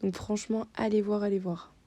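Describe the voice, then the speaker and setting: A woman's voice: medium pitch, little pitch movement, a little fast, noisy background. A young woman giving a casual voice-over of a website, hesitant, with many fillers. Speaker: vlogger doing screen-tour voice-over.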